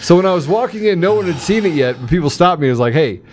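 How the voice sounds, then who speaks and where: A man's voice: high voice, expressive, racing, a little hiss or hum. A man hosting a podcast with casual, teasing banter and frequent chuckling. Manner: teasing, jokey, often chuckling. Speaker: podcast host.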